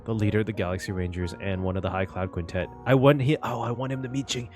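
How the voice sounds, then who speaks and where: A man giving casual live reaction commentary on a game as a streamer, animated and expressive. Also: lowish voice, fast, soft background music.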